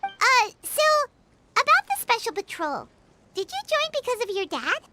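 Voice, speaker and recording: A woman voicing a small, childlike, bubbly fairy companion with a squeaky voice in a video game. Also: big pitch swings, moderate pace, heavy background noise. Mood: excited, curious. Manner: exclamatory, refers to self in third person. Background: background music.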